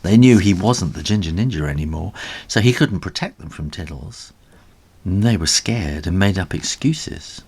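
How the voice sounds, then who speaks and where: A man reading a children's story aloud as its author, in an animated storybook narration style with a husky voice. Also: sits low, moderate pace.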